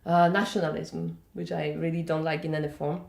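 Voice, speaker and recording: A woman's voice: sits low, little pitch movement, a little fast, vocal fry, a little mellow. A woman from Poland with a Polish accent, speaking conversationally and in a rambling way as she answers interview questions. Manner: conversational, rambling, checks in with "you know" and "yeah?". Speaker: interviewee, festival organiser. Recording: echoey room.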